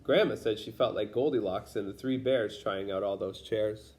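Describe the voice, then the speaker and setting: A man reading a children's picture book aloud as a storyteller, pausing to explain things to young listeners. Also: on the low side, a little mellow. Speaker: storybook reader.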